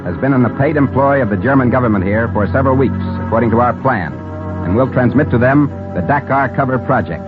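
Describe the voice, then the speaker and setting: An actor playing a businesslike American intelligence agent dictating a clipped, official report in a 1950s radio drama. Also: male voice, mid-range voice, expressive, fast, lightly acted. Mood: brisk, official. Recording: tinny old radio recording, loud music.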